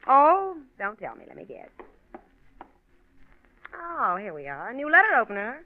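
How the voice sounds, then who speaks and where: An actress playing a poised, flippant, newly divorced newspaperwoman in a 1940s radio drama, breezy and arch, quick to bristle. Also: on the high side, huge pitch swings, slight background noise. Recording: narrow-band vintage radio recording.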